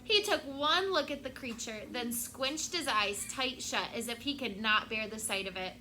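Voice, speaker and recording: A woman teacher reads a picture book aloud to her class in an expressive voice, pausing to prompt the children. Her tone is bright and sharp, pitched a little high. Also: slight background noise, poor audio.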